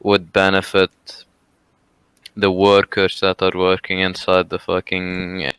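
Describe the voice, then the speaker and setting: A man with a Lebanese accent debating on a voice call, halting at times with fillers. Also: deep voice, monotone, fairly bright tone, clean tone, no echo.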